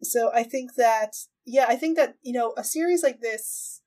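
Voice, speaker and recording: A woman with a fairly high voice talks as the host of a casual podcast. She thinks aloud, often qualifying what she says. The delivery is conversational and tentative. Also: normal speed, a little mellow. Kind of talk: casual chat about anime.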